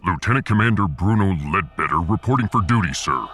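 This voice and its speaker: Man playing low-voiced, boastful space security chief. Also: expressive, fairly quick, fairly bright tone. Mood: self-assured. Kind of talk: sci-fi audiobook character acting. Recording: faint music behind the voice.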